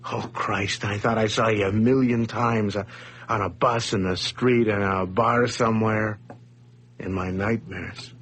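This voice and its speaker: A man's voice: lowish voice, a little hiss or hum. An actor playing a nervous, scheming man who stammers and dodges as he confesses at gunpoint in a radio play. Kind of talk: 1980s radio drama acting.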